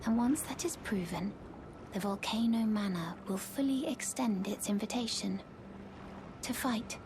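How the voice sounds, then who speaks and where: An actress playing a courteous servant of a noble house in a fantasy game, formal and polite, in a breathy half-whisper. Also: female voice, mid-range voice, unhurried, background noise, natural voice. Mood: grateful, reverent. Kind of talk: video game character acting. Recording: music behind the voice.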